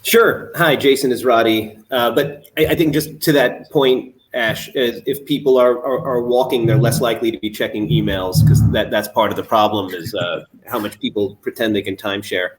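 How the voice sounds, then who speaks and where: A man's voice: mid-range voice, low-quality recording. A man speaking as a data-science executive on a video-call panel, with repeated restarts. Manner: quick repetitive restarts.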